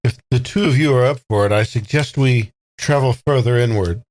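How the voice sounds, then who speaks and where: A man role-playing in a tabletop game, with a measured, rather formal way of speaking. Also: mid-range voice, darkish tone. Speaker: player. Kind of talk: improvised in-character role-play.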